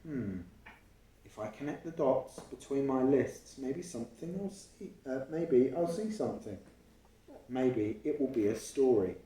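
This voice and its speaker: Animated man reading storytime, echoey room. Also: mid-range voice, a little slow, far from the mic, a little hiss or hum. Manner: explains and asks questions as he reads. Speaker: parent reading a picture book aloud to a child. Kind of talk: storytime read-aloud with chatty asides to a child. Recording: roomy, echoey home recording.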